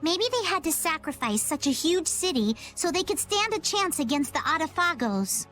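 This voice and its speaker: A woman's voice: high-pitched, big pitch swings, normal speed, fairly bright tone, faint music behind. An actress voicing a plucky, earnest and slightly bossy young girl in a video game. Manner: declarative, spirited.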